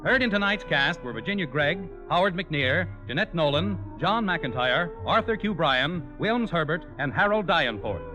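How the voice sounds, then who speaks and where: A man's voice: fairly high pitch, animated, moderate pace, few pauses, over music, low-quality recording. An American man announcing in 1950s radio style, with polished, emphatic sales delivery of scripted copy. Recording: old radio broadcast recording.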